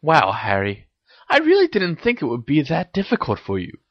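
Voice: medium pitch, wildly swooping pitch, normal speed, no echo, dull audio, pitched above the performer's own voice. A reader voicing a teenage girl witch, a bossy and teasing best friend who speaks in emphatic exclamations, for an audio story.

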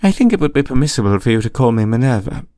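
A man voicing a stern older female professor in a story, with a crisp, formal, firm delivery. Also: a little high, fairly quick, dry recording, studio quality, voice pushed up, close to an everyday voice. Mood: firm, brisk.

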